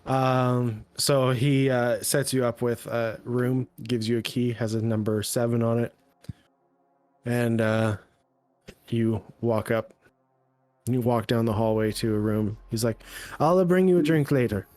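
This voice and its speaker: A man narrating as game master in a halting, hesitant way. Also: medium pitch, flat delivery, darkish tone, soft background music. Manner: halting, full of ums and uhs.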